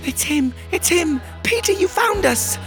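A loving female platypus mother from a storybook, as a man puts her on. Her voice is pitched very high, tender and tentative, with swooping intonation. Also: animated, a little slow, soft background music, full character voice. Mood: joyful. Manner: quiet, tentative.

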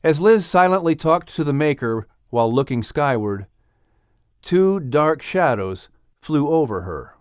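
Animated male storybook narrator. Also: a little high, a little slow, clean tone, dry recording, clean studio sound. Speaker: audiobook narrator.

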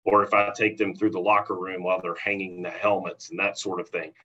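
A man's voice: low voice, level tone, brisk, bright, forceful tone, husky. A man with an American accent talking in an agreeable, explanatory way in a professional conversation. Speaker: college athletics sponsorship professional. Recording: dull, lo-fi audio.

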